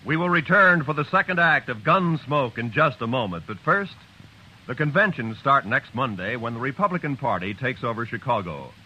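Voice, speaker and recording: A man announcing for network radio in a crisp, emphatic, promotional 1950s style. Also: mid-range voice, lively intonation, normal speed, lo-fi sound.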